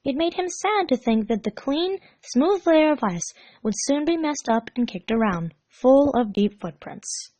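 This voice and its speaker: A woman's voice: high-pitched, animated, measured pace, hardly any pauses, dark tone, no room sound. Woman narrating a story, very dry sound. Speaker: fan fiction narrator.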